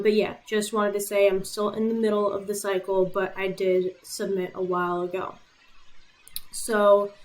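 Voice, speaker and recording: A young woman from Puerto Rico, a native Spanish speaker, talks her viewers through her own application as a vlogger. Her delivery is relaxed and matter-of-fact, with plenty of "um" fillers between points. Her voice sits at an ordinary middle pitch. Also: rough recording. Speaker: YouTuber and medical school applicant.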